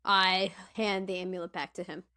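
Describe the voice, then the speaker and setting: A woman's voice: on the low side, little pitch movement, normal speed, sharp tone, smooth. Casual woman playing a tabletop game. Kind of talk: casual chat during a game. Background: faint game music.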